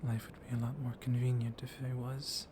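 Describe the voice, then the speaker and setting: A man voicing a civil, softly dominant, centuries-old vampire librarian in an ASMR roleplay, hushed and often slipping into whispers. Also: medium pitch, brisk, lightly acted. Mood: calm, explaining. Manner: hushed, breathy, often whispering, teasing with soft chuckles. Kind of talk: ASMR roleplay, intimate one-sided dialogue. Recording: noisy storm ambience behind voice. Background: thunder and steady ambient noise.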